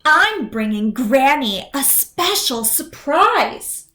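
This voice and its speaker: A woman voicing a cheerful, curious little girl in a storybook reading, bright and singsong. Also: sits high, sing-song, slow, reverberant, full character voice.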